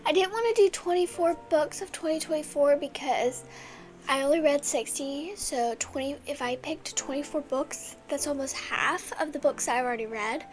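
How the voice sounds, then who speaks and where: A woman's voice: high-pitched, normal speed. A young woman with a stuffy, congested voice, chatting casually and rambling about her favorite books. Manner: chatty and rambling, with many restarts. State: congested from a cold. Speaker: book vlogger. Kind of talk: casual chat.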